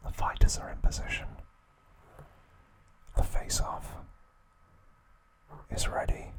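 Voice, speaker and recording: A man narrating ASMR in a hushed, deliberate whisper, breaking his sentences into short phrases. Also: poor audio. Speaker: ASMR host.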